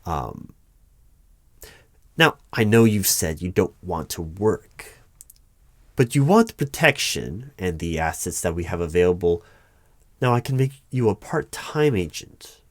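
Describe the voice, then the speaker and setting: Secret-bureau hiring manager played by a man: deep, dry close sound, formal and unflappable, measured ASMR roleplay delivery. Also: no echo. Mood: calm, cautioning. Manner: measured, formal, wordy, hesitant pauses. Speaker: roleplay performer. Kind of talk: one-sided ASMR audio roleplay interview.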